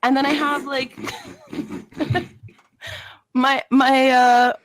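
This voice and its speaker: A woman's voice: sits high, little pitch movement, extremely slow, low-quality recording. A young woman from French Canada co-hosting a video-call podcast, joking and playful. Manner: playful, jokes in put-on accents. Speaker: podcast co-host. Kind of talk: casual chat. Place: home room, video call. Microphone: studio mic on stand.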